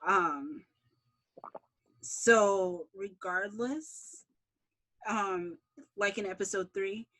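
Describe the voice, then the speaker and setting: A woman's voice: mid-range voice, very slow, long pauses, fairly bright tone, low-quality recording. A woman series creator answering interview questions in a casual way.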